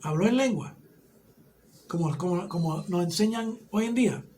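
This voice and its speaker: Un hombre con acento puertorriqueño que enseña una clase bíblica, explicando paso a paso y preguntando "¿ok?" a menudo. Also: male voice, high voice, expressive, moderate pace, darkish tone, slight background noise. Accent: Puerto Rican Spanish. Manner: explanatory, often checks in with "¿ok?". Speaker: Bible teacher. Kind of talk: teaching a Bible class.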